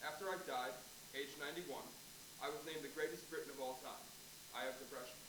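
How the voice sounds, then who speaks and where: A man's voice: mid-range voice, fairly even intonation, a little fast, lightly acted. A man playing a stately exhibit droid of famous British men, reciting in a declarative staged-reading style. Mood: stately, factual. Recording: distant echoey room, clearly noisy.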